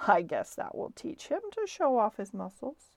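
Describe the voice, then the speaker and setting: A woman voicing a sly, sneering male cat in a children's storybook, with a hushed, breathy, taunting delivery. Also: a little high, very animated, no echo, heavily acted. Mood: smug. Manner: sharp, taunting, unhurried.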